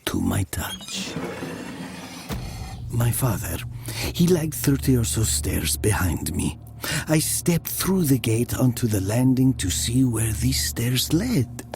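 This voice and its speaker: A man playing a brooding narrator recalling his youth in a dramatic story, slow and deliberate, dropping into hushed breathy tones. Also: on the low side, full character voice. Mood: brooding, reflective.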